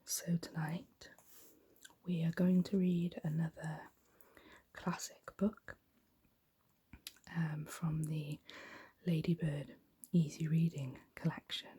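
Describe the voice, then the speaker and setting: A woman giving a soft-spoken, gentle, hushed ASMR reading of a bedtime story in a breathy, whispery voice. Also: deep voice, little pitch movement, slow pace, long pauses.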